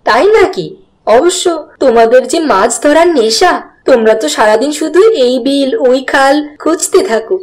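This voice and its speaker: A woman playing a caring village wife in a fairy-tale cartoon, with a teasing, gently nagging delivery. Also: sits high, expressive, normal speed.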